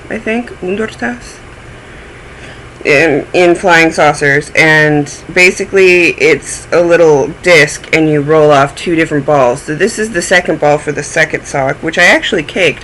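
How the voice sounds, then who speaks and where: A man's voice: a little high, expressive, normal speed, sharp tone, slight background noise, rough recording. A man hosting a knitting podcast, chatting in a rambling, self-deprecating way and sounding a little phlegmy. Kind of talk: casual chat.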